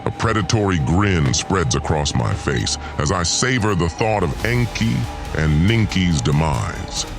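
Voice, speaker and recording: A man narrates an audio drama in the first person with a low voice. His reading is theatrical and brooding, with lively rises and falls, over a music bed. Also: unhurried.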